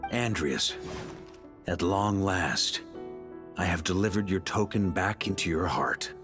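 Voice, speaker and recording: A resolute knight hero, earnest and defiant toward his foe. His voice swings widely in pitch, climbing high when he calls out with passion. A lead figure from a story-driven video game. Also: animated, slow, music in the background.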